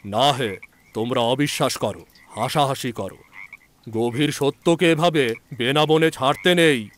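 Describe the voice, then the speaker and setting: A man's voice: medium pitch, measured pace, lightly acted. A man playing a proud, old-fashioned elderly village healer in an audio story, grumbling and earnest in his opinions. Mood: sulky, earnest. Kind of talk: audio story character acting.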